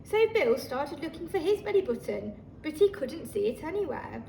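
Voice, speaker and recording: A woman reading a children's story aloud like a teacher, expressive and talking listeners through the pictures. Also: high-pitched, moderate pace, creaky. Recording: roomy, distant microphone, faint noise.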